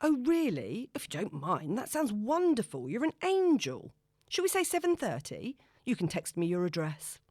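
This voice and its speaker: Smooth male charmer, done by a woman reader, scheming, casual, falsely modest, lively intonation, audiobook dialogue. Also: mid-range voice, normal speed, no echo, clean studio sound, voice pushed up. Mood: flattering.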